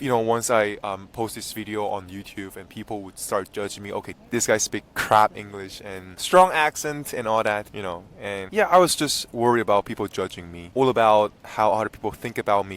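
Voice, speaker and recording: A 23-year-old man from South Korea and southern China, a native speaker of Korean and Mandarin, talking casually and candidly as a vlogger, often restarting his phrases. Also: on the low side. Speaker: vlogger and language content creator. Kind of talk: casual personal vlog talk to camera.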